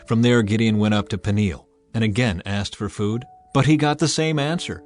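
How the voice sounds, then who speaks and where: A man's voice: lowish voice, normal speed, a little mellow, faint music behind. A man narrating a dramatized Bible audiobook in a scripted storytelling style.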